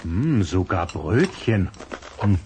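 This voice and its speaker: A man's voice: low-pitched, big pitch swings, slow, a little mellow, slight background noise, heavily acted. An actor playing a teasing, suspicious father in an audio drama. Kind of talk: German audio drama acting.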